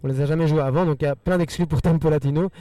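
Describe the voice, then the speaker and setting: A man with a Belgian French accent whose first language is Spanish, earnestly answering interview questions in quick rushes with repeated words. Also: on the high side, steady tone, mellow, no room sound, recorded from a distance. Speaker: musician guest.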